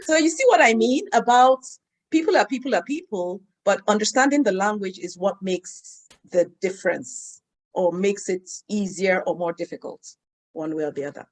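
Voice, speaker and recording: A woman, a guest in an interview, telling stories in a measured, lively way. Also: medium pitch. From Nigeria. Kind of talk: conversational interview storytelling.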